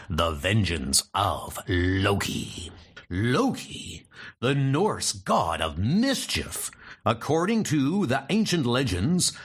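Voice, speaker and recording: Dramatic male comic book narrator, lively intonation. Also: mid-range voice.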